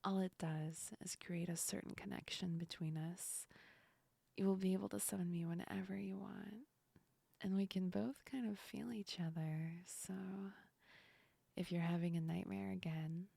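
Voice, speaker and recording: A gentle female sleep demon acted by a woman whispers close to the mic in an intimate ASMR roleplay. Her voice is mellow and very breathy, with a creaky edge. She soothes and reassures slowly, affectionate and a little teasing. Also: lowish voice, steady tone, no echo, exaggerated voice. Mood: warm, lightly playful. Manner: slow, soothing, reassuring, lightly amused. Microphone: close ASMR microphone.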